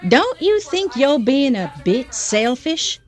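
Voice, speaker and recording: A woman's voice: sits high, sing-song, takes it slowly, exaggerated voice. A woman playing a proud, passionate businesswoman in a soap-opera audio drama, speaking in an emotive, sardonic way. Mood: indignant. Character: proud, passionate businesswoman, indignant and demanding with her lover. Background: faint music.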